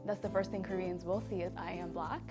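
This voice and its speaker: A woman's voice: on the low side, fairly even intonation, brisk. A woman in her twenties giving candid interview answers in a careful, apologetic, hedging way. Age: 20s. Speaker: interviewee, model. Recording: music behind the voice.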